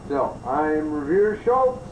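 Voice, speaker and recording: A man's voice: on the high side, very animated, slow. Echoey, distant male review host. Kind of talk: improvised review commentary. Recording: roomy, distant mic, clearly noisy.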